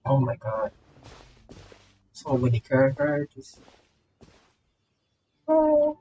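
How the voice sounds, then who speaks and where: A man doing casual live gameplay commentary, excitable and repeating short phrases as he reacts. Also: medium pitch, drawn-out words, highs cut off. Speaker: streamer reacting to a game. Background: game music.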